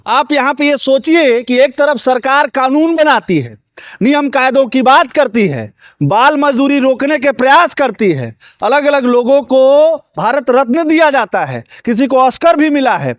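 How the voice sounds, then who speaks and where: Man, very high voice, indignant news reporter, dry close sound. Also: expressive, measured pace, no room sound. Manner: urgent, indignant, questioning. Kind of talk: on-the-spot news report with interviews. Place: outdoors at a wedding lawn.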